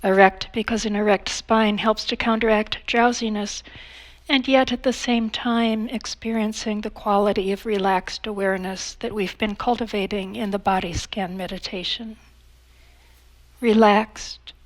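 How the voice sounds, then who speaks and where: A woman instructs a guided meditation in a calm, measured manner. She has a mid-range voice and leaves long pauses between her phrases. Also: medium pitch.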